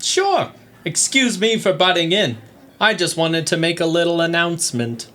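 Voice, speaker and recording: A man's voice: fairly high pitch, animated, moderate pace, sharp tone. Man playing a smug, needling ex-husband. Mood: smug, taunting. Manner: taunting, mock-polite. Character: smug, needling ex-husband in 1916 New York. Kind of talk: theatrical comedy acting, audio play.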